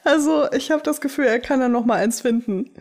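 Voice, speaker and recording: Young woman podcast host, kinda high voice, giggly n candid, just chatting w her friend. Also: brisk, darkish tone. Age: young adult. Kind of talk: casual chat between friends.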